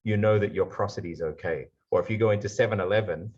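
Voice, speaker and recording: A man with an Australian accent giving a lively conference talk over a video call. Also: fairly low pitch, fast talker, slightly rough, muffled recording. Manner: lively explainer, slipping into put-on accents for examples. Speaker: guest speaker.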